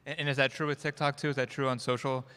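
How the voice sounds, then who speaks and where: A man's voice: medium pitch, little pitch movement, fast, sharp tone, clean tone. A man moderating a conference panel, asking long, searching questions. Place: conference stage. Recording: long reverb of a conference hall.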